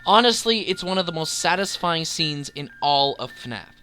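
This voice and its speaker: A man's voice: fairly high pitch, animated, moderate pace, sharp tone, slight background noise. A man hosting a video essay, narrating a scripted countdown with enthusiasm and emphatic, dramatic delivery. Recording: voice-over with background music. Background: music.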